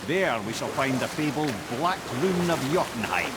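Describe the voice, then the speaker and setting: Learned old scottish guide in a game, chatty storyteller, lots of up and down, water noise behind. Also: male voice, a little high, big pitch swings, normal speed. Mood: helpful, wry. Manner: articulate, loquacious, storytelling. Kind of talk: video game dialogue acting.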